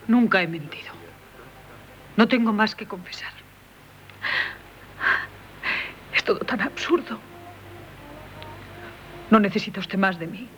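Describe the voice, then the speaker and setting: An actress playing a distressed, dignified wife defending herself in 1970s radio theatre. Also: female voice, mid-range voice, normal speed, long pauses, a bit bright, natural voice. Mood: wounded, weary. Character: distressed, dignified wife accused of bigamy, female. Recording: dull, noisy 1970s radio recording.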